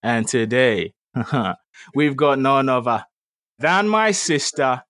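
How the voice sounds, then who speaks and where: A man's voice: mid-range voice, very animated, takes it slowly, fairly bright tone. A man hosting a podcast, talking in an upbeat, playful, hype style. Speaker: podcast host. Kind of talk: podcast interview.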